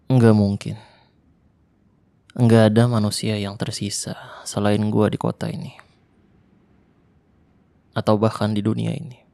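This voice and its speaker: A young man talks in a casual, slangy way through an ASMR roleplay, often tense and hushed. His voice is gravelly and sits a little low. The sound is dry and close to the mic. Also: dry recording. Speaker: voice actor.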